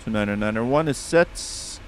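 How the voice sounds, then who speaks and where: A man's voice: medium pitch, moderate pace. Man, Russian accent, easygoing streamer chat, faint hum behind. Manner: relaxed, chatty, reads back radio calls. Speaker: flight sim streamer. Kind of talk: casual live-stream chat with radio calls.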